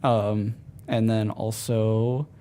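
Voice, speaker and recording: A man's voice: fairly low pitch, flat delivery, extremely slow, warm tone, clean tone. A man speaking informally as a panelist in a group podcast conversation. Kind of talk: casual group chat.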